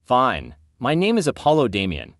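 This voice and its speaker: Animated man playing a proud captive executive. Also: medium pitch, normal speed, dry recording, clean studio sound. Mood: defiant. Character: proud captive male technology company founder. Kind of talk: amateur audio drama dialogue.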